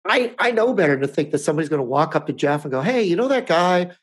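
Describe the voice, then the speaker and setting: Rambling man in his fifties, higher voice. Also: big pitch swings, fast, breathy edge. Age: 50s. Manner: rambling, restarts often, chuckles. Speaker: podcast co-host. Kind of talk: casual chat.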